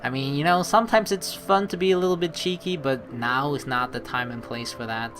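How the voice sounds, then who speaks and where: A man hosting a let's play, giving casual, reflective commentary in a rambling, halting way and reading dialogue aloud. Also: medium pitch, a bit bright. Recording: game music behind the voice.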